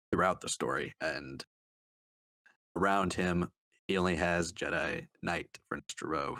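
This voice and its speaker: A man giving a casual book review as a panelist, speaking in a hesitant, halting way with trailing sentences. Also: extremely low pitch, fairly bright tone, dry recording. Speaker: podcast panelist reviewing books.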